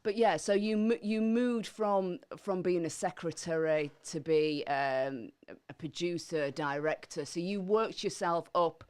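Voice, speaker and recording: A woman's voice: lowish voice, fairly even intonation, unhurried, darkish tone, smooth. A woman with a Yorkshire accent, hosting a radio interview, encouraging her guest and often restarting her sentences. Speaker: radio host.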